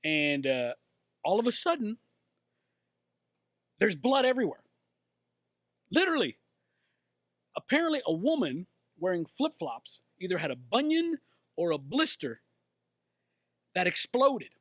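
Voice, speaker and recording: A man co-hosting a casual talk show from a bar, animated and jokey. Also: sits high, a little slow, long pauses, no room sound, studio quality. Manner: animated, jokey, slips into playful accents. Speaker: co-host.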